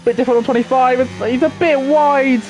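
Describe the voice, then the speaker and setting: A man's voice: very high-pitched, steady tone, fairly quick, low-quality recording. A young man giving live, chatty commentary on an online racing league, thinking aloud and talking to viewers. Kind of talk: live esports race commentary.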